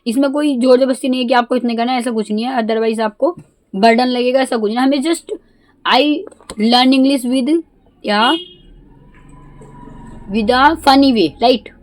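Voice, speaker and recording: A woman with an Indian accent, a Hindi native speaker, talking casually in halting learner English mixed with Hindi. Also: sits high, dry recording, poor audio. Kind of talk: casual vlog.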